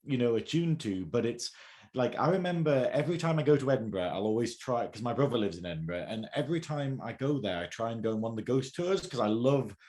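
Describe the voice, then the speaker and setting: A man with a medium-pitched voice talks informally in a podcast group chat. His sentences are loose and wander into asides, with a jokey, conversational delivery. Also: expressive, fast, darkish tone.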